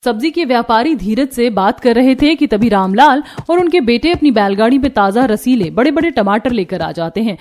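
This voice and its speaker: A woman's voice: fairly high pitch, lively intonation, normal speed, few pauses, a little mellow. A woman narrating a scripted cartoon story as its storyteller.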